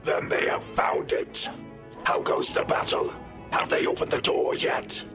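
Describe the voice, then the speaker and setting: A man performs a menacing warlord king for an audio drama. The voice is a harsh, breathy growl with almost no clear pitch, commanding and grandiose. Loud music plays underneath. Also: lightly acted. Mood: impatient demanding. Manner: grandiose, imperious, slow pronouncements. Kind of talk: audio drama villain acting.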